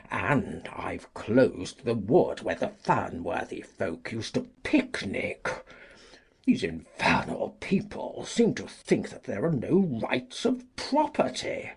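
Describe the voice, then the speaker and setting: A very raspy, breathy old squire in a classic drama. He is pompous, litigious and quick to indignation, drawling slowly and swooping theatrically across his range. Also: lowish voice, very animated, exaggerated voice. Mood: indignant. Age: older. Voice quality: husky, creaky old voice. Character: cantankerous, self-righteous, litigious old country gentleman. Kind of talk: dramatised classic audiobook acting.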